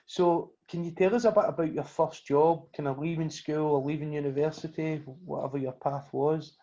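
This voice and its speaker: A man hosting a podcast with a raised, mellow voice, steering the chat with short friendly questions. The audio is a little dull and lo-fi. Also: on the high side, fairly even intonation, moderate pace. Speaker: podcast host. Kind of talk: casual interview hosting.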